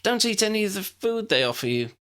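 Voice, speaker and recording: A flustered young woman seer, as a man puts her on, blurting out her thoughts in a high, fluttering voice. The pitch sits well above the reader's own and leaps about in this storybook reading. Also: sing-song, brisk, a bit bright, smooth.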